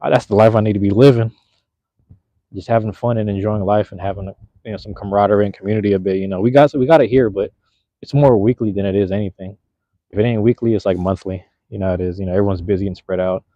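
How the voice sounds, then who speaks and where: A man's voice: lowish voice, fairly even intonation, quick pace, dry recording. A young man hosting a casual live chat from a phone, outdoors at night, talking in a laid-back, slangy, rambling way. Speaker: livestream host. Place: outdoors at night in a park, cold drizzle. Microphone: handheld phone. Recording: slightly dull lo-fi phone audio.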